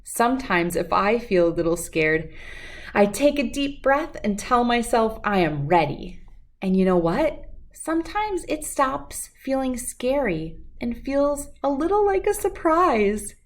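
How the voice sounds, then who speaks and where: A woman voicing a gentle storybook father who patiently reassures and encourages his young son. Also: on the high side, big pitch swings, measured pace. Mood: reassuring.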